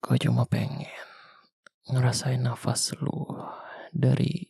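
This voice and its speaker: A young man with a Jakarta slang accent playing a weary ex-soldier survivor of a zombie apocalypse, speaking softly and intimately in an ASMR roleplay. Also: mid-range voice, little pitch movement, gravelly, breathy edge, no echo, studio quality, heavily acted. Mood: tender. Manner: soft, slow, intimate, half-whispered. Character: weary male ex-soldier survivor, tender protector. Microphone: close mic.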